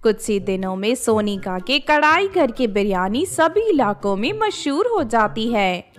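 A woman's voice: a little high, very animated, unhurried. A woman reading scripted fairy-tale narration as the storyteller of a children's cartoon. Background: background music.

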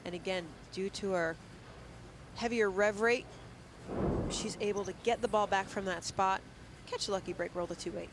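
A woman's voice: medium pitch, moderate pace, long pauses, background noise. Woman breaking down bowling technique. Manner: explains technique in detail, steady and instructive. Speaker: color commentator and technical analyst. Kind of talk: analytical sports commentary. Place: commentary booth at a bowling arena.

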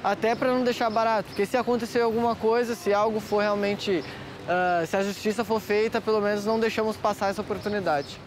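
A man's voice: sits high, steady tone, fast, background noise. A Brazilian man in his twenties talking casually in an outdoor interview. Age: 20s. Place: outdoors on a busy campus square.

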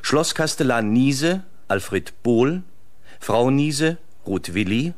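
A man's voice: mid-range voice, expressive, slow. A man working as a radio announcer, reading scripted credits.

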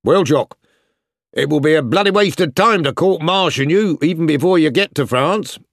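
A man's voice: a little high, expressive, normal speed, dry recording, clean studio sound, pitched above the performer's own voice. A man voicing a severe but secretly amused British sergeant major in a storybook, stern and clipped. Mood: severe, dry humour. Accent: British English. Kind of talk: storybook character voice.

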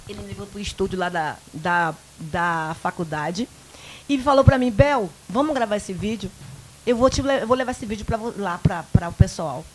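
A woman in her fifties from the Bahia countryside with a Bahian Brazilian accent, a guest in a small local studio interview, telling lively personal stories into a handheld mic and acting out the dialogue. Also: medium pitch, moderate pace, slight background noise, low-quality recording. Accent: Bahian Brazilian Portuguese. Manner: animated, acts out quoted dialogue, frequent "né?". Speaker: guest, event producer. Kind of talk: casual interview storytelling.

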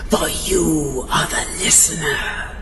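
An actress playing an ancient undead matriarch spirit in a video game, solemn and commanding, with a creaky, ghostly voice. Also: female voice, low voice, slow, exaggerated voice. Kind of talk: dramatic video game acting. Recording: echoing, distant, music underneath.

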